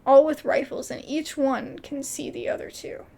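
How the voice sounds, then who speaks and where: A woman's voice: high-pitched, steady tone, normal speed, vocal fry, darkish tone. A woman doing casual video game commentary and reading dialogue aloud, with informal, exclamatory asides.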